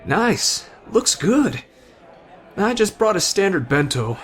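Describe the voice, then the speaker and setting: A man's voice: high voice, sing-song, takes it slowly, fairly bright tone, heavily acted. A man playing an easygoing, friendly but tough teenage delinquent in an anime roleplay, casual and slangy. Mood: friendly upbeat. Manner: casual, slangy, addresses listener as buddy. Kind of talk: anime fan ASMR roleplay.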